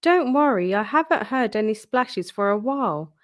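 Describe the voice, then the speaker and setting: A woman voicing a calm, reassuring male frog in a children's storybook. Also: on the high side, normal speed, lightly acted.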